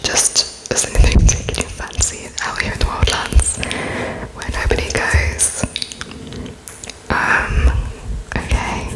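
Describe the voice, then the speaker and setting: A woman speaks in a breathy whisper, very slowly and haltingly. She plays a polite, gentle interviewer in an ASMR roleplay, often pausing between words as though writing them down. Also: poor audio. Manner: hesitant, halting, dictating slowly as she writes notes. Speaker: ASMR performer playing an interviewer.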